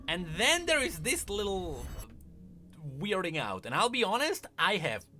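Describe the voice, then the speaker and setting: A man's voice: high-pitched, huge pitch swings, unhurried, bright, forceful tone, soft background music. A man giving conversational explainer commentary, with wry asides along the way. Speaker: video essayist.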